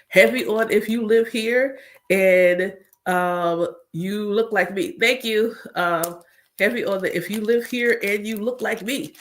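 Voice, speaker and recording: A woman and spiritual coach, congested, chatting casually and directly to her viewers about her own experience. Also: on the low side. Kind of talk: casual chat.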